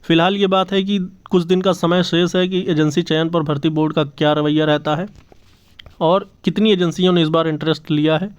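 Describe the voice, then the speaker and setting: A man's voice: a little high, steady tone, fairly quick, smooth. A man hosting his channel's job exam news update, talking earnestly and repeating himself with many fillers. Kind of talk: casual news update to camera. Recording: slightly dull lo-fi audio.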